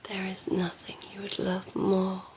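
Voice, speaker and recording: A woman voicing a cheeky, flirtatious female vampire in an ASMR roleplay, breathy and often whispering, teasing and cooing with condescending affection. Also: medium pitch, completely flat delivery, measured pace, noisy background, natural voice. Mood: teasing, soothing.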